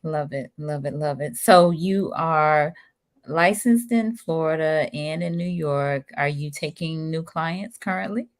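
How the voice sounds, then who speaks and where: A woman's voice: deep voice, unhurried. A woman hosting a live-streamed interview show, upbeat and greeting viewers by name. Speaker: talk show host.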